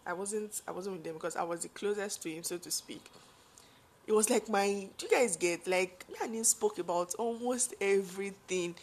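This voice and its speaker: Deep-voiced Nigerian woman telling a story. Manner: chatty, full of fillers and asides. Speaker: vlogger. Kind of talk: personal storytelling to viewers.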